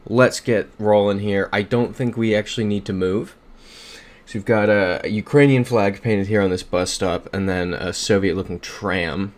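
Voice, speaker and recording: Young guy streaming from his room, lowish voice, upbeat, thinking out loud, desk mic. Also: steady tone, moderate pace. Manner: upbeat, thinks aloud, reads chat messages. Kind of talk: casual livestream commentary.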